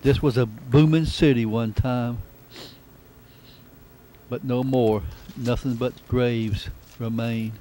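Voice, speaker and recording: Flat-toned man narrating, noisy recording. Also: medium pitch. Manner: unhurried, reflective, plain-spoken. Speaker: amateur travel videographer. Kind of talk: casual travelogue narration. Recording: noisy camcorder sound with outdoor ambience.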